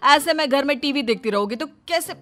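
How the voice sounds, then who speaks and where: A woman's voice: high-pitched, lively intonation, a little fast, a bit bright. A woman voicing an exasperated, hungry adult son in a comic household story, pleading and complaining.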